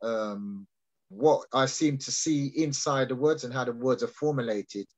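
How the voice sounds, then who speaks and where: A man's voice: medium pitch, a little slow, a little mellow. A man explaining his ideas on a video call, hesitant with many restarts and fillers. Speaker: guest speaker.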